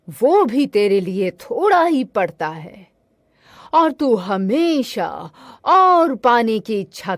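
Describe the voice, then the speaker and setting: A woman voicing a stern, menacing male god of death with slow, solemn pronouncements in a cartoon moral tale. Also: mid-range voice, dry recording, studio quality, voice pushed down.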